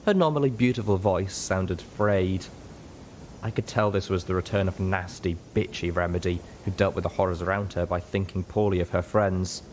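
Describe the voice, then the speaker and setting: Low-voiced man narrating a grave story. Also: moderate pace, slight background noise, low-quality recording. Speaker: audiobook narrator. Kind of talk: dramatic first-person story narration.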